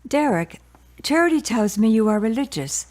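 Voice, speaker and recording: A woman playing a caring, gently meddling birth mother in a radio drama, with a solicitous, motherly manner. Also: fairly high pitch, big pitch swings, moderate pace. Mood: confiding.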